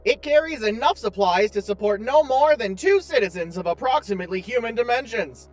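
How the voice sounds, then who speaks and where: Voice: mid-range voice, animated, a little fast, soft background music, natural voice, poor audio. An actor playing a chipper, oblivious, unfailingly polite tour guide AI in a space audio drama, speaking with formal, cheerful precision. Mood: chipper, informative.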